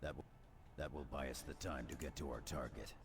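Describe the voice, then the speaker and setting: An actor playing a gruff, battle-hardened space marine soldier giving terse, commanding tactical orders in a video game. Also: male voice, a bass voice, fairly even intonation, a little fast, background noise.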